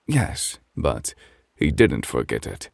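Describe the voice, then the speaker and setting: A man voicing a sly, lazy male possum or raccoon from a bedtime story, speaking in a hushed, smug drawl. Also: extremely low pitch, very animated, slow, creaky, no room sound, clean studio sound, heavily acted. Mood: sore, rueful.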